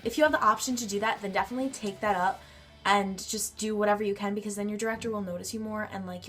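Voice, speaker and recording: A teenage girl with a nasal, congested voice from a cold, chatting in a bubbly way in her bedroom. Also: a little high. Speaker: vlogger. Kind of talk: casual chat answering a tag.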